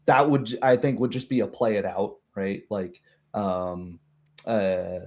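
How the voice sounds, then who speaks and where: A man's voice: fairly low pitch, fairly even intonation, a little slow, darkish tone. Hesitant man chatting as game master. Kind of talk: casual chat about a roleplaying game.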